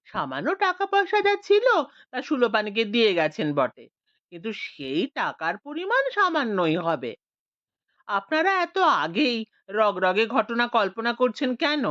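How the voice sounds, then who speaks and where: A woman voicing a calm, reasoning middle-aged village man in a Bengali audio story, speaking in a measured, persuasive way. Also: on the high side, dry recording, muffled recording.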